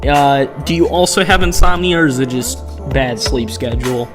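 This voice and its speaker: A man's voice: medium pitch, normal speed, over music. A man live-streaming a dating game, reacting with sarcastic, incredulous commentary and reading options aloud.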